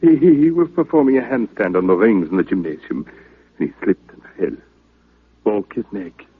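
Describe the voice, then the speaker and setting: A man acting a shaken, grieving doctor, halting and mournful, in 1940s radio style. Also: on the low side, animated, close to an everyday voice. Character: shaken, grieving male doctor running a health club. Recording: tinny vintage radio recording.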